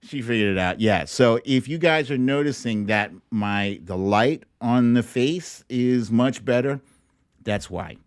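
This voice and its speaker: A middle-aged man hosts a livestream in an unhurried, conversational style. He rambles a little and speaks straight to his audience. The sound is dry and close, with a medium-pitched voice. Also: smooth, dry recording. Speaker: host of a livestream show. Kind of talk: casual, chatty livestream talk to viewers. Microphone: streaming microphone at a laptop setup.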